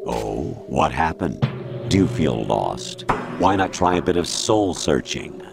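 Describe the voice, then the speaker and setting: A cold artificial ruler in a game cutscene, speaking with an extremely low, rumbling voice. He lectures slowly and condescendingly. Loud dramatic music plays behind him. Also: sing-song, vocal fry, exaggerated voice. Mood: cold lecturing. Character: cold male machine mind lecturing, mature. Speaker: game character. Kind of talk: video game cutscene voice acting. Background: loud dramatic music score.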